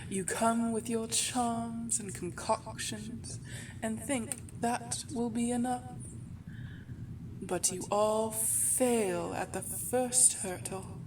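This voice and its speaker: A woman's voice: on the high side, steady tone, slow, distant mic, background noise. A woman playing an ancient vampire baroness in an ASMR roleplay, a mocking, seductive villain with a taunting, theatrical delivery. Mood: menacing, gloating. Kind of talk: ASMR audio roleplay acting.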